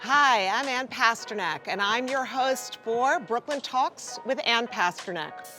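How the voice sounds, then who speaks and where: A woman's voice: on the high side, a little slow, music in the background. A woman hosting a talk show, presenting in a scripted, formal introductory style. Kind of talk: scripted introduction of panel guests.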